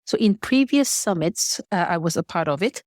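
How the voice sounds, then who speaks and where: A woman's voice: fairly low pitch, animated, normal speed, darkish tone, no echo, clean studio sound. A woman from Malaysia giving formal, prepared, explanatory answers as a business guest on a podcast.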